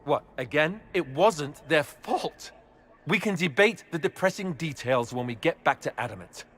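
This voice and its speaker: An actor playing an earnest, wry warrior in a fantasy video game, with an argumentative edge. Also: male voice, fairly high pitch, expressive, moderate pace, fairly bright tone, breathy edge. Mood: indignant. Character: earnest, wry male warrior of a sworn order.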